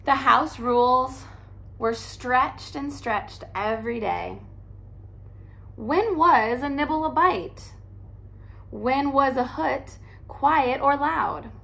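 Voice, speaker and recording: A young woman, a teacher, reading a children's picture book aloud in an animated, expressive way at home. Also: high voice, slow, gravelly. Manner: animated, expressive storytelling with character voices. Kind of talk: storybook read-aloud. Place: living room by a fireplace, indoors.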